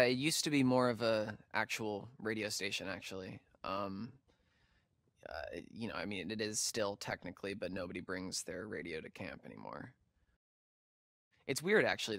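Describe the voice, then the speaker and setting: An actor playing an awkward, nerdy young camp counselor in a video game, an American man who talks in a halting, self-conscious, easygoing ramble. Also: lowish voice, vocal fry, a bit bright, no echo, studio quality. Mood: casual, reflective. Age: young adult. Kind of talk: naturalistic game dialogue.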